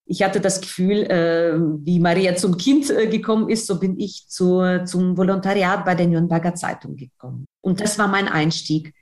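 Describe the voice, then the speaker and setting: A woman's voice: lowish voice, normal speed, few pauses, darkish tone. Emphatic woman with a foreign accent. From outside Germany. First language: not German. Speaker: interview guest, newspaper editor and trainer of trainees. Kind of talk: conversational interview answers, personal account.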